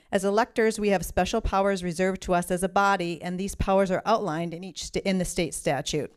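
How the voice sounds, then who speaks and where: A woman, chairing a school board meeting and reading the formal procedural opening in a measured way. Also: fairly low pitch, clean tone.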